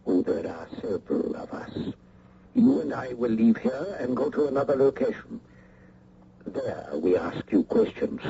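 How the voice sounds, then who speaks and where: An actor playing a menacing foreign spy in a radio mystery, with formal, deliberate, mockingly courteous speech. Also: male voice, medium pitch, big pitch swings, creaky. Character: cold, menacing male foreign spy with a gun. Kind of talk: old-time radio mystery acting. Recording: noisy, muffled old radio recording.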